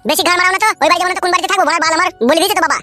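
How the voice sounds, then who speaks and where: Complaining young son-in-law, extremely high-pitched, rapid-fire, cheeky comedy cartoon. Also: male voice, fairly even intonation, over loud music. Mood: whining complaint. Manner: brash, complaining, swearing.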